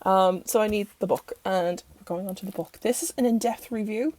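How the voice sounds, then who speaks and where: A young Irish woman with a croaky voice from recent illness, chatting rambly through a casual review in her bedroom. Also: medium pitch, fairly quick, darkish tone, dry recording. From Ireland. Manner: chatty and rambling, self-interrupting. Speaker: tarot channel host. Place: bedroom at home.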